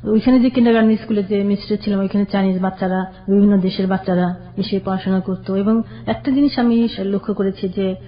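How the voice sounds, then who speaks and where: A woman's voice: medium pitch, steady tone. Hesitant woman recalling her past. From Bangladesh. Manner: slow, hesitant recollection with restarts. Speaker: caller sharing her life story. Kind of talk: personal storytelling interview. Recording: dull lo-fi radio recording.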